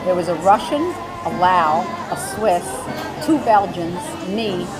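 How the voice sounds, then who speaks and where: A woman's voice: lowish voice, lively intonation, slow pace, low-quality recording. A woman chatting animatedly in an interview, telling a story. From United States. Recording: public space with crowd and music.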